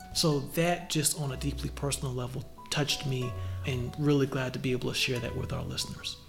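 A man hosting a podcast interview, upbeat and effusive, with the host's warm enthusiasm for his guest. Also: medium pitch. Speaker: podcast host.

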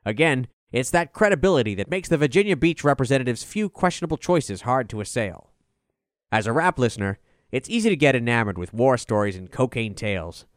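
A man's voice: medium pitch, no room sound, studio quality. A man reading a scripted review as narration, in an articulate, formal delivery. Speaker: album review reader.

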